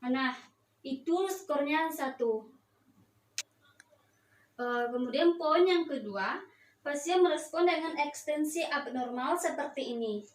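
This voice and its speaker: A young woman with a high voice explains a procedure step by step. She sounds hesitant, pausing and restarting as she goes. The sound is echoey and far from the mic, with faint noise behind it. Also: animated. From Indonesia. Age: young adult. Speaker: nursing student giving a practical demonstration. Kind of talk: instructional demonstration, step by step.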